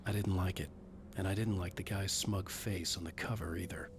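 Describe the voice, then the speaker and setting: A man's voice: low voice, steady tone, moderate pace, husky. A man playing a troubled, short-tempered writer, the hero of a thriller video game. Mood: brooding narration. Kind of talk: dramatic game acting and brooding narration. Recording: game audio with music behind. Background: music.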